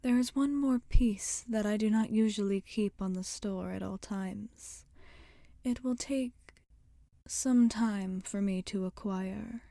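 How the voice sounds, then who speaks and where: A woman playing a gentle, caring witch healer in an ASMR roleplay, speaking softly and often whispering, with soothing, formal phrasing. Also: mid-range voice, slow pace, dry recording, studio quality. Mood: pensive, soothing. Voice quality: soft, breathy, often whispering.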